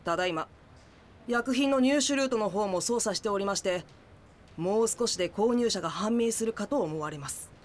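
An actor playing a police investigator giving a formal, orderly report in an audio drama. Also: male voice, sits high, normal speed, a little hiss or hum, natural voice. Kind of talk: Japanese audio drama acting.

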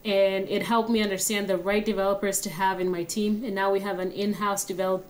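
A young woman, a guest telling her story in an interview. Her voice sits at a middling pitch for a woman. She speaks in a friendly, steady way and laughs easily. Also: a little fast, rough recording. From Kenya. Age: young adult. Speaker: guest, app founder.

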